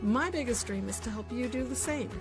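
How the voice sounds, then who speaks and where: A woman hosting a podcast interview, effusive and encouraging, with a slow, emphatic delivery. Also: on the high side, animated, over music. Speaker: podcast host and mentor.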